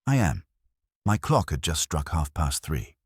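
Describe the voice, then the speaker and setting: A man's voice: very deep voice, sing-song, unhurried, slightly rough, no room sound, studio quality, full character voice. A man reading a frail, pious eccentric lodger in a mystery story, grave, fussy and pedantic. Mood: grave, self-important.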